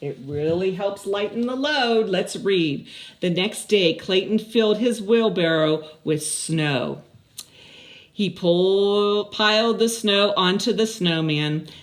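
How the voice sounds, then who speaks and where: A woman's voice: lowish voice, expressive, slow. An older woman hosting story time for children, reading and chatting expressively with questions for young listeners. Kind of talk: picture-book read-aloud and chatting to children. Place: small indoor room with blinds.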